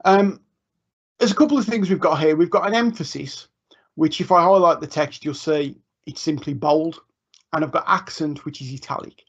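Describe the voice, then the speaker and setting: A fairly high-voiced man talks teachers through a software demo in a loose, chatty way, full of ums and self-corrections. The sound is dull and lo-fi, like a live online stream. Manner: chatty, informal, many ums and restarts. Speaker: education technology trainer. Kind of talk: live screen-share tutorial. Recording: muffled lo-fi online stream audio.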